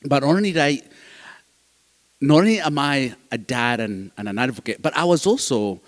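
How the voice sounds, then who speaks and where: A man's voice: medium pitch, lively intonation, moderate pace. A man from Uganda with a Ugandan accent, a non-native English speaker, giving an emphatic personal motivational talk to an audience. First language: not English, which is a fourth language. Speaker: guest speaker, foster care advocate. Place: large reverberant hall. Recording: long hall reverb.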